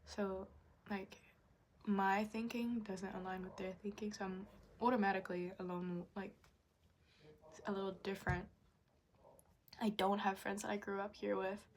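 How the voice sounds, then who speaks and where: A woman's voice: mid-range voice, flat delivery, moderate pace, pauses often, a little hiss or hum. A young woman vlogging candidly about her own life in a frank, rambling, confessional way. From Japanese, raised in Indonesia and New Zealand. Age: young adult. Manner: confessional, frank, rambling with fillers and mild swearing. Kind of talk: candid personal vlog.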